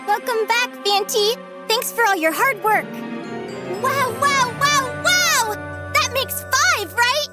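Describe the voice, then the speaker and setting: A woman voicing an earnest, excitable, naive little girl helper in a video game. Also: extremely high pitch, slow pace, over music, full character voice. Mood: eager, curious. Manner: childlike, earnest.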